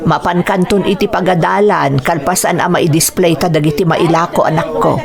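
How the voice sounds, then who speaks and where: Creaky-voiced gentle Ilocano mother. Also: lowish voice, steady tone, brisk, a crowd in the background. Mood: brisk, affectionate. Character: hardworking middle-aged mother, gentle market vendor. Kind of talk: Ilocano radio drama acting.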